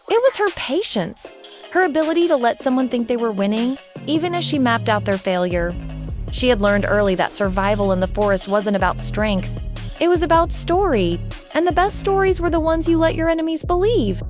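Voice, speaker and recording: Expressive higher-pitched woman storyteller. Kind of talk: scripted storybook narration.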